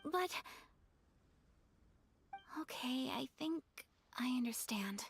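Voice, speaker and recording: A woman voicing a gracious, bashful young noblewoman in a video game, soft, formal and hesitant. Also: high voice, expressive, slow pace, gravelly, a little hiss or hum, heavily acted. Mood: polite, gentle.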